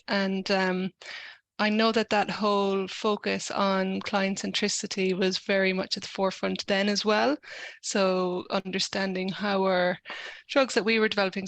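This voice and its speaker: A woman's voice: medium pitch, fairly bright tone, no echo. A middle-aged woman speaking reflectively on a video call. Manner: conversational, reflective. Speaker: workshop participant. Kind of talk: casual chat on a video call.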